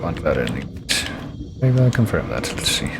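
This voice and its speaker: Male streamer, low voice with lively pitch swings, rambling commentary, game music underneath. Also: moderate pace, vocal fry. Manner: rambling, thinking aloud. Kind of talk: gameplay commentary.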